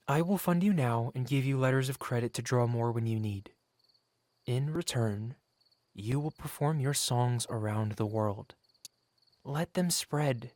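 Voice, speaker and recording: A man's voice: medium pitch, a little mellow, no echo, close to an everyday voice. Man voicing a suave, possessive dragon merchant, dry close sound. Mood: courting, businesslike. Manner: eloquent, formal, courtly, self-satisfied. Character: wealthy possessive dragon merchant in human form, suave, covetous. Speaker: ASMR roleplay performer. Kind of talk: ASMR roleplay monologue, theatrical storytelling.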